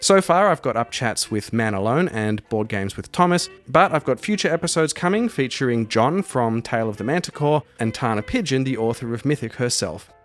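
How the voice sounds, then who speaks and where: A man's voice: mid-range voice, lively intonation, brisk, few pauses, soft background music. A man hosting a solo roleplaying podcast, delivering scripted commentary and narration. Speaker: podcast host and solo game master.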